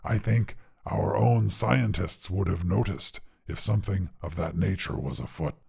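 Big smug dinosaur, super croaky and raspy, talks like a pompous professor, audio story character. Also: male voice, unhurried, a little mellow, full character voice. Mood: pompous, skeptical. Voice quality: gravelly, husky low growl. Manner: pompous, learned, formal phrasing. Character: huge smug erudite carnivorous dinosaur. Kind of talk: character voice in narrated short fiction.

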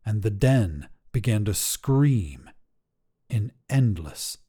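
A man reads short fiction as a podcast narrator. His voice sits fairly low, with a very soft, dark and relaxed tone. He tells the story in a measured, dramatic way, as scripted narration. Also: husky.

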